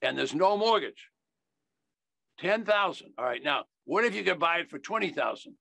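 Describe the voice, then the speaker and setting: An older American man with a fairly high, creaky voice and a sharp edge. He teaches like a folksy salesman, hammering key phrases. The sound is dry and close, from a video call. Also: expressive, normal speed, no room sound. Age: older, 70s. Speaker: investing educator. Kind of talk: instructional sales talk.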